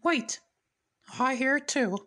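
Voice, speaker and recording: A performer voicing a kindly, regal male cartoon mouse king, earnest and expressive. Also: sits high, slow pace, pitched above the performer's own voice, heavily acted. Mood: concerned.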